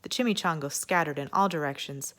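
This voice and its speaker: Low-voiced woman reading a storybook. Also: a little fast, fairly bright tone. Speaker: story reader at an elementary school. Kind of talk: expressive children's storybook narration.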